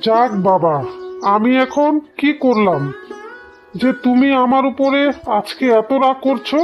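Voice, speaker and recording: A woman's voice: high-pitched, expressive, unhurried. A woman voicing a puzzled, placating husband in a cartoon, coaxing and reasoning with mild exasperation. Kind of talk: Bengali moral-story cartoon acting. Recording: slightly dull, lo-fi sound. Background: plucked string music.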